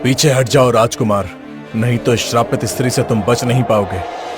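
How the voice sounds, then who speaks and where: A man voicing a stern, wise holy man in an animated fairy tale, solemn, commanding and instructive. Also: mid-range voice, moderate pace, over music, close to an everyday voice. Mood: urgent warning.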